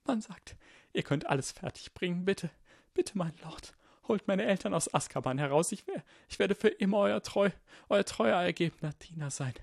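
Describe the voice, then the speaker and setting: A man voicing a desperate, intense teenage boy in an audiobook, with a high, shrill, overwrought voice. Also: animated, normal speed, creaky, no echo, studio quality, heavily acted. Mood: pleading. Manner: stammering pleas, spitting insults. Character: desperate teenage boy, pleading, turning to shrieking rage.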